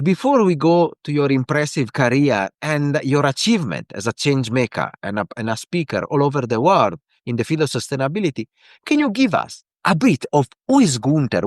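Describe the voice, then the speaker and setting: A man's voice: medium pitch, big pitch swings, normal speed, dry recording, clean studio sound. A man hosting an interview show, introducing his guest with effusive praise. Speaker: podcast host.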